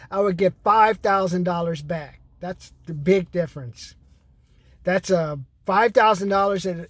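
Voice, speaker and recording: A man's voice: sits high, no room sound. Blunt, profane man in his fifties. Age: 50s. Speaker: vlogger. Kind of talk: casual rant to camera.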